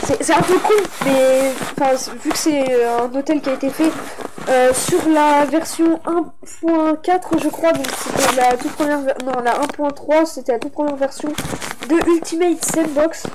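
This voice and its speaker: A young gaming YouTuber with a high voice and a congested, stuffy sound from a cold. She rambles through her commentary, restarting sentences and leaning on fillers. A steady hiss of noise sits under the recording. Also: moderate pace. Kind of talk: casual game map showcase commentary.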